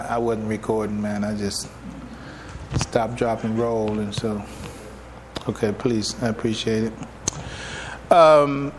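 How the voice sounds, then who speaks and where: A man giving a public religious lecture as an imam, speaking in a halting, deliberate way and often repeating his phrases. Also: mid-range voice, a little hiss or hum, low-quality recording. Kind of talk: public religious lecture, partly reading from notes. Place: live public address in a room.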